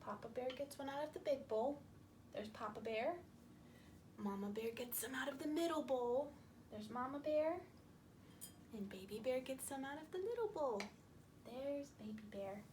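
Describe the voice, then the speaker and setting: A woman's voice: high-pitched, lively intonation, darkish tone, a little hiss or hum. A woman telling a story to young children with puppets, repeating and emphasizing phrases as she explains. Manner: slow, repetitive, emphatic, explaining to small children.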